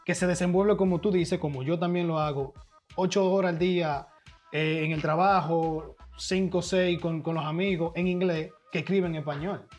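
A man's voice: on the high side, steady tone, moderate pace, darkish tone. A man from the Dominican Republic with a Dominican Spanish accent, hosting and earnestly making his point in casual conversation. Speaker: podcast host. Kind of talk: casual interview chat. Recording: dull lo-fi sound with faint music.

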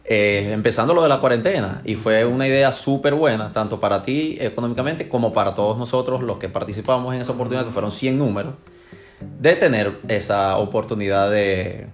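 A man's voice: lowish voice, fairly quick, faint music behind. A man from Venezuela with a Venezuelan accent, casually recounting his experience as an interviewed client. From Maracaibo, Venezuela. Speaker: tattoo client being interviewed.

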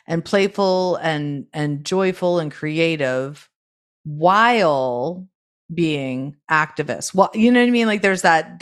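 A middle-aged woman talking casually and reflectively as a podcast guest, working through her ideas in loosely linked phrases. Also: deep voice. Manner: thoughtful, thinking aloud in loosely linked phrases. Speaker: podcast guest, neurodiversity advocate. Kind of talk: casual reflective interview talk.

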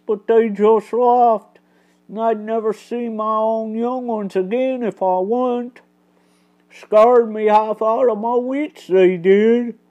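A woman's voice: on the high side, phone-quality sound, pitched above the performer's own voice. A woman voicing a frightened, humble male mouse carpenter in a storybook, pleading in folksy working-class speech.

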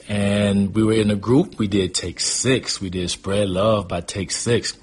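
An American man with a very low-pitched voice shares personal memories as a guest storyteller. He talks informally and wanders from one thought to the next. There is noticeable background noise on the recording. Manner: rambling, conversational. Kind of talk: casual autobiographical storytelling.

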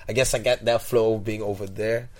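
A man's voice: fairly low pitch, little pitch movement, normal speed. Young guy from togo musing about life, rambles and repeats himself, lots of "you know". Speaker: interview guest. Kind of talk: casual reflective conversation.

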